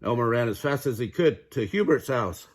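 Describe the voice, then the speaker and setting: A man narrating a children's fairy tale aloud in an expressive storytelling style. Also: medium pitch, normal speed. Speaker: storybook reader.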